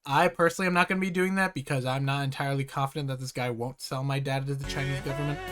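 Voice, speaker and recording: A man's voice: medium pitch, steady tone, rapid-fire, a bit bright, soft background music. A man giving casual, sweary reaction commentary as a YouTuber, with exasperated sarcasm and a tendency to exclaim.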